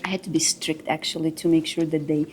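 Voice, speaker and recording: A woman's voice: deep voice, flat delivery, fast talker, darkish tone. A woman from Tajikistan in her thirties, talking casually as an interview guest, with many fillers and restarts. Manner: loose, filler-heavy, frequent restarts. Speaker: realtor, guest.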